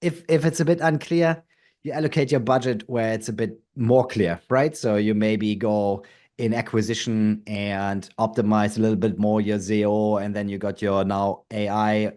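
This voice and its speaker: A man's voice: on the low side, fairly even intonation, moderate pace, a little mellow. A man from Germany with a German accent, speaking as a company founder in a conversational interview and often checking in with "right?". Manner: thoughtful, tags sentences with "right?".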